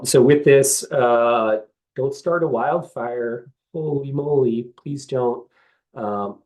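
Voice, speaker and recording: A hoarse man giving a webinar talk as staff of a trail association, earnest, with frequent ums and uhs. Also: on the low side, darkish tone, poor audio. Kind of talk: webinar presentation. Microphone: video call.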